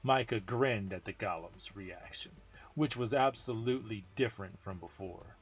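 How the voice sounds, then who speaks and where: A man's voice: lowish voice, moderate pace. A man giving a dramatic amateur reading of a game story as narrator. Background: music.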